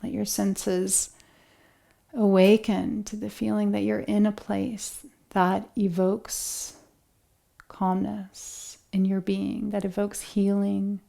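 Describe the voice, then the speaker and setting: A woman leading a guided relaxation meditation as an instructor, speaking in a gentle, soothing, unhurried manner with long pauses. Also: mid-range voice, flat delivery, vocal fry.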